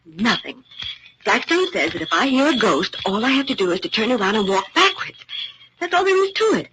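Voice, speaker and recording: A plucky young heroine from a vintage radio play. Her high voice swings expressively, bossy and theatrical over hidden nerves. The old recording has a clear hiss. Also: sing-song, a little fast. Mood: brave but nervous. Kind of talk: old-time American radio drama acting.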